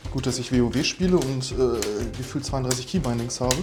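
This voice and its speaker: A man's voice: medium pitch, a little fast, music in the background. A man competing on a comedy game show, muttering to himself as he works through tasks. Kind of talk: unscripted game show play.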